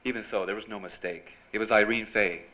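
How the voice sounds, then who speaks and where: An American actor playing a breezy, hardboiled newspaper reporter in an old-time radio noir drama. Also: male voice, on the low side, steady tone, a little fast, bright, forceful tone. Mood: brooding narration. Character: wisecracking, persistent male newspaper reporter, noir hero. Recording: dull lo-fi live stage recording, clear noise.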